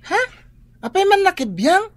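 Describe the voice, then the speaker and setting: A woman's voice: very high-pitched, sing-song, normal speed, no echo. A woman with a Filipino accent playing an inquisitive daughter in a radio drama. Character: inquisitive daughter pestering her mother with questions.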